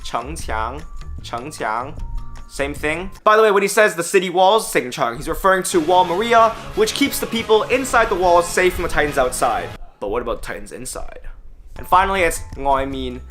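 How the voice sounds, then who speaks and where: A man's voice: a little high, very animated, normal speed, a bit bright, soft background music. A man hosting a casual language lesson, explaining words one by one, upbeat and dropping wry joking asides. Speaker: language teacher and host.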